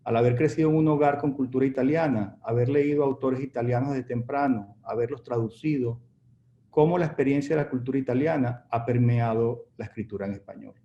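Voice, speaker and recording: A man's voice: mid-range voice, fairly quick, mellow. A man moderating an online panel, reading out audience questions with hesitant restarts, over a video call. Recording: dull echoey call audio with faint noise.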